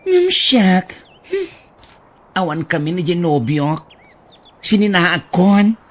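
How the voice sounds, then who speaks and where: A woman playing an excitable, envious young friend in a radio drama, gushing and exclaiming with a Filipino accent. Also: fairly low pitch, slow, full character voice. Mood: glum.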